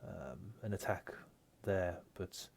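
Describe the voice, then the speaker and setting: A man voicing a formal male knight giving a measured briefing in a tabletop game. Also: sits low, gravelly, full character voice. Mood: grave.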